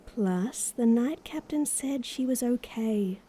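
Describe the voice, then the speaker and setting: A woman playing a loyal, calm noblewoman advisor in an audio drama, with dry, teasing understatement. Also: a little high, takes it slowly, slightly rough, full character voice, low-quality recording. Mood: teasing, reassuring.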